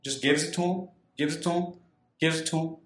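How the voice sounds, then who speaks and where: A man's voice: on the high side, a little fast. Man telling stories in an echoey room. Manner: animated storyteller, repeats phrases for emphasis. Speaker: podcast host, former firefighter. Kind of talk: podcast interview and storytelling.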